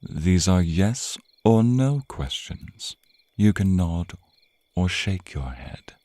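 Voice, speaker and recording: Man voicing a suave male vampire, low-pitched, slow, teasing and menacing, theatrical, intimate ASMR roleplay. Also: sing-song, warm tone, no echo, heavily acted. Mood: stern, threatening. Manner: theatrical, purring, drawn-out phrasing, often near a whisper. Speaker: roleplay voice performer.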